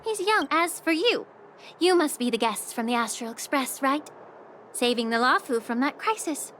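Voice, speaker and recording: A woman voicing a calm, gracious young woman alchemist in a game, speaking gently and reflectively. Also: high-pitched, moderate pace, a little hiss or hum. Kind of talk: game voice acting. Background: game music.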